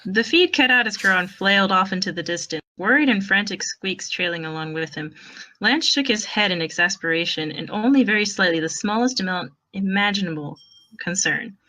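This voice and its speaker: A woman narrating a piece of fan fiction in an expressive storytelling style. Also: mid-range voice, fairly quick, poor audio.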